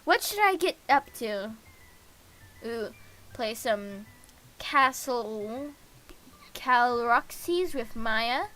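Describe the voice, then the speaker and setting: A woman with a high, bright voice narrates a visual novel for a stream. She reads at a slow pace, tripping on words and correcting herself, and drops in casual comments. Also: lively intonation, low-quality recording. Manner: halting reading with slips and self-corrections. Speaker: game streamer.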